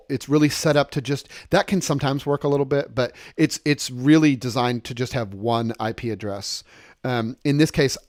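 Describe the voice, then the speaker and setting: A man with a medium-pitched voice walks listeners through software on a shared screen. He explains informally, one step after another, and circles back to stress important points. The recording is dry, with very little room sound. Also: no room sound. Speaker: software instructor and demonstrator.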